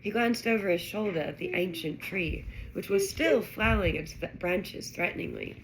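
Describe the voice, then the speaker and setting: A woman narrating a children's fantasy story aloud in an expressive reading style. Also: medium pitch, normal speed, slight background noise, poor audio. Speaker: audiobook-style reader.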